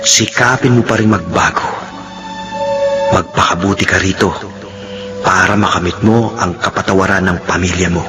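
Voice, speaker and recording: A man's voice: low-pitched, steady tone, normal speed, long pauses, over music, close to an everyday voice. A man acting a stern, moralizing counselor in a radio drama, blunt and admonishing.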